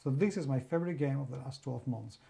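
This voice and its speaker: A man's voice: mid-range voice, fast, gravelly, warm tone. A man from Spain with a Spanish accent, reviewing a favorite role-playing game in an effusive, enthusiastic monologue full of superlatives. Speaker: guest reviewer, magazine editor.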